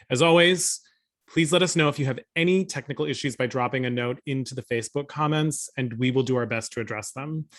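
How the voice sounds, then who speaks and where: A man's voice: medium pitch, a bit bright. A man in his thirties hosting an online interview, chatty and upbeat, speaking over a video call from a room at home. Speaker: host.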